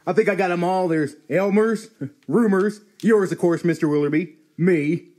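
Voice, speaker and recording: An actor playing a fussy, folksy old hotel keeper in old-time radio comedy, given to exasperation. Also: male voice, high-pitched, moderate pace, dark tone. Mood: folksy, chatty. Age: older. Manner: exasperated, folksy drawl grammar.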